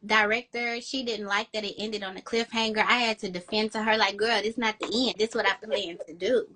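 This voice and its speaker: A woman romance author in an interview, chatty and enthusiastic, with a high-pitched voice. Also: steady tone, brisk, sharp tone. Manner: chatty, enthusiastic, quotes herself with "girl". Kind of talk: casual interview chat.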